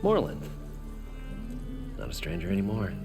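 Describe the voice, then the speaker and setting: An eager, stubborn tinkerer with a low, gravelly voice. He is proud and showy, in a video game with music underneath. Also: wildly swooping pitch, moderate pace, exaggerated voice. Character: eager, stubborn male tinkerer and salvage diver. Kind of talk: naturalistic game voice acting.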